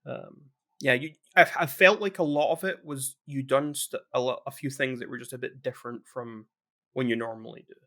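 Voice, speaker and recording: A man chatting casually on a podcast at a middle pitch. He rambles along with frequent restarts and trailing thoughts. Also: steady tone, moderate pace, bright, forceful tone. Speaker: podcast co-host.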